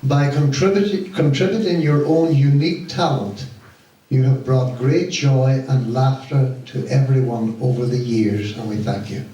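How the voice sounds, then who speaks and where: A middle-aged or older man with a Donegal Irish accent gives an informal, deliberate thank-you speech to an audience as a pantomime director. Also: mid-range voice, mellow, dull audio. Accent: Irish, County Donegal. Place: stage of a community hall. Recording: very echoey hall, distant mic, faint noise.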